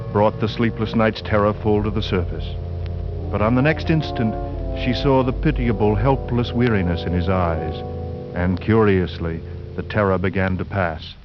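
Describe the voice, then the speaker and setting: A man narrating a suspense tale as a radio actor, grave and deliberate in a theatrical 1940s style. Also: fairly low pitch, fairly even intonation, close to an everyday voice. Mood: grave, ominous. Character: grave, ominous male storyteller of a horror tale. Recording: muffled 1940s radio recording with music.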